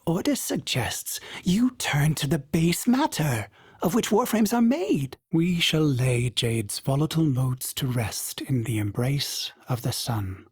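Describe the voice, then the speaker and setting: A man's voice: husky, no echo, clean studio sound, heavily acted. A man voicing a devoted, fussy male ship AI who speaks formally and calls himself by name in the third person. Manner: sudden jumps in pitch and speed, fussy and excitable. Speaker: game character voice. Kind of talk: video game voice lines.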